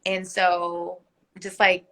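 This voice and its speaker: A woman's voice: fairly low pitch, completely flat delivery, drawn-out words, fairly bright tone, low-quality recording. A woman whose first language is Korean, speaking as a guest in a casual interview over a video call, earnest and reflective. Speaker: guest, business owner.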